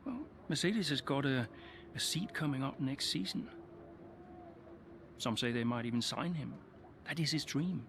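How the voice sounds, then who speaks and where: An actor playing a weary, proud but soft-hearted veteran racing driver in a video game cutscene. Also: male voice, mid-range voice, expressive, moderate pace. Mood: earnest, defensive. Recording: noisy background ambience.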